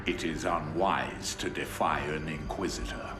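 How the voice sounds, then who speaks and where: An actor playing a stern, disgraced inquisitor. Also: male voice, very deep voice, steady tone, unhurried. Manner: stern, urgent. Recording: echoey with music.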